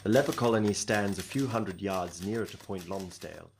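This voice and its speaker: An actor playing a gloomy nineteenth-century writer, describing his surroundings in measured, literary phrases in a radio play. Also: low voice, gravelly, noisy background. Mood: dour. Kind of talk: amateur radio play acting.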